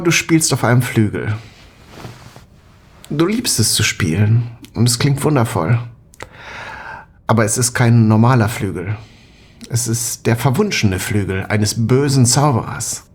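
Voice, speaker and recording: A man acting a brooding, burdened character in an intimate audio spy drama, reflective and halting. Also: mid-range voice, husky.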